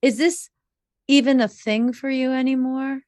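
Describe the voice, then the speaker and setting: A woman hosting a relationship podcast, asking slow, thoughtful questions with measured, drawn-out phrasing. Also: high-pitched, fairly even intonation, darkish tone, no room sound, clean studio sound. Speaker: podcast host, relationship coach.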